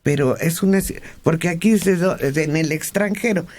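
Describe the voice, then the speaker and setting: A Mexican man speaking on a radio panel, explaining points in a measured way. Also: a little high, darkish tone. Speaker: radio host.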